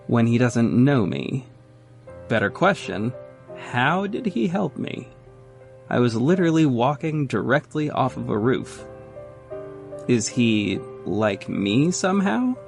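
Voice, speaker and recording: A man's voice: mid-range voice, creaky. A man playing a wry, bewildered masked vigilante detective, narrating his own story in an audio drama with dry self-questioning. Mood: puzzled. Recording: faint music under the voice.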